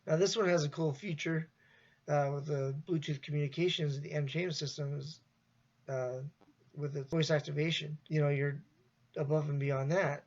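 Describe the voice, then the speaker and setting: A man giving an informal, loosely improvised product review in a halting way. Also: on the high side, steady tone, highs cut off.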